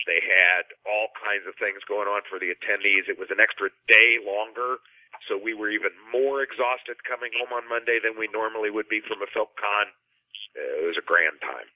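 A man's voice: on the low side, dry recording. A man speaking as a podcast guest, explaining things conversationally over a phone line. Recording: narrow, dry phone line.

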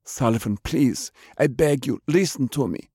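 A man's voice: lowish voice, lively intonation, brisk, gravelly, a little mellow, no room sound, clean studio sound. Man voicing an urgent, imploring comrade. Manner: insistent, imploring. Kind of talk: dramatic audiobook character voice.

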